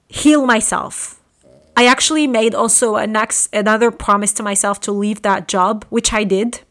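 Brief Bright-toned woman in her late twenties from Morocco, podcast host, halting and earnest delivery, recorded at home. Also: medium pitch. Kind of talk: personal storytelling podcast. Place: room at home.

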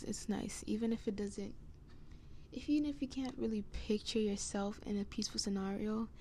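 A woman's voice: mid-range voice, vocal fry, mellow. A young adult woman with a scratchy voice, chatting casually by herself in a hesitant, meandering way. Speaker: podcast host. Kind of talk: casual rambling solo chat. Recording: noticeable background noise.

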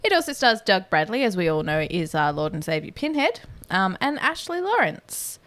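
A woman's voice: medium pitch, very animated, moderate pace, fairly bright tone, no echo. Aussie woman cohosting a podcast, wry and chatty, dry close sound, mid voice. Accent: Australian. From Australia. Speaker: podcast co-host. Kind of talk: casual banter.

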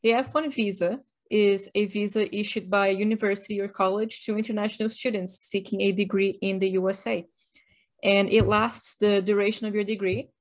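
A woman's voice: medium pitch, steady tone, a little fast. Chatty, wry woman co-hosting a podcast. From Brazil. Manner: wry, joking, chatty explainer. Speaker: podcast co-host. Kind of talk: casual chat.

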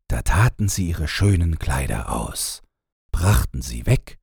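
Very husky, deep-voiced male storyteller. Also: darkish tone, no room sound, studio quality. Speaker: audiobook narrator. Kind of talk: scripted fairy-tale narration.

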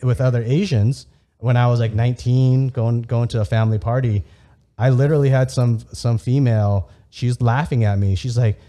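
A man's voice: mid-range voice, steady tone, normal speed, mellow. A young man, a native Vietnamese speaker raised in the United States, talking candidly and heatedly in a casual podcast chat, with frequent swearing.

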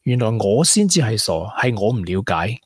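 Actor as a husky, caring young Hong Kong man. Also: fairly low pitch, very animated, moderate pace, no room sound, clean studio sound. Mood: understanding. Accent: Hong Kong Cantonese. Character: supportive, down-to-earth young boyfriend, caring and sensible. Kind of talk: Cantonese radio drama acting.

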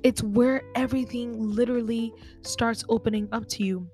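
Woman host, casual and chatty, speaking to listeners over soft music. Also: on the high side, steady tone, normal speed. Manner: chatty, conversational, addresses listeners directly. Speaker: podcast host. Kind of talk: casual faith talk.

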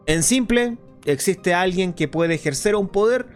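An earnest underground radio host acted by a man delivers a scripted radio drama monologue in a fairly high male voice. He explains things deliberately and didactically, with faint music behind him. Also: expressive. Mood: explanatory, intrigued. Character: clandestine radio host of a dystopian resistance show, earnest and explanatory.

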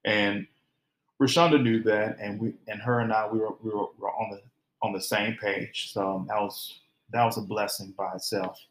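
Man, low-ish voice, earnest, halting restarts, roomy echo. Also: fairly even intonation. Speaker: guest, her partner. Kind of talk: casual conversational storytelling.

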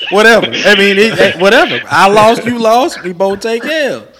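A man's voice: high-pitched, animated, normal speed, crowd noise. An American man in heated casual banter, insistent and stubborn, often repeating himself.

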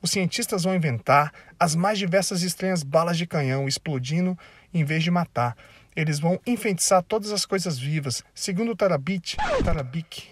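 A man's voice: a little high, a little fast. A Brazilian man hosts and narrates a casual commentary video, joking and using slang asides, and he stumbles over foreign names. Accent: Brazilian Portuguese.

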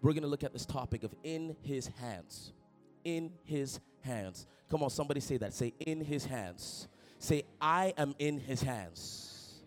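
A man's voice: mid-range voice, unhurried, faint music behind. A young man preaching a sermon, telling jokey stories and calling out to the congregation. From Toronto, Canada. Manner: animated, jokey, calls out to the congregation. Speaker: pastor. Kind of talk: sermon with personal storytelling.

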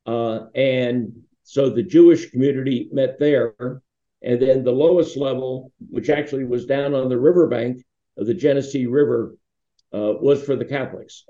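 An American man in his sixties with a very dark, mellow voice recounts memories as an interview guest. He rambles from one anecdote to the next, and the sound is echoey and a little dull. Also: medium pitch. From Pittsburgh, United States. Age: 60s. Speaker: interview guest, foundation founder. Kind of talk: reminiscing in a conversational interview. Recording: dull, roomy, echoing sound.

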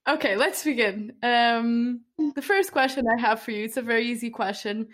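A woman hosting an interview, earnest and soft-spoken, with hesitant ums. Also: a little high, moderate pace. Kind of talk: interview host.

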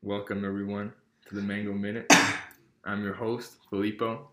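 A man's voice: fairly low pitch, little pitch movement, normal speed, roomy sound, noisy background. A teenage boy hosting a casual podcast, talking in a rambling way with lots of fillers and restarts. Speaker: podcast host.

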